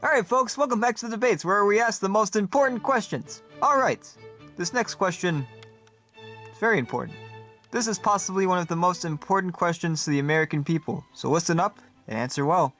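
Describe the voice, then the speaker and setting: A man playing a peppy, bombastic debate moderator in a comedy sketch, with an animated game-show announcer delivery. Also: high voice, fairly quick, soft background music. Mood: upbeat, showy. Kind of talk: game-show style hosting.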